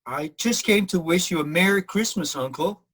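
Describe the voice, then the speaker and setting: A man playing a cheerful, warm-hearted young nephew in a radio play, earnest and hearty in manner. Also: high voice, lively intonation, normal speed, slightly rough, heavily acted. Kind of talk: theatrical radio play acting.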